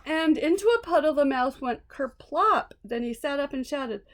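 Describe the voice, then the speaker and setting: A woman's voice: high-pitched, animated, moderate pace, slight background noise. A woman reading a children's storybook aloud expressively, with small asides to listeners. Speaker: storybook reader.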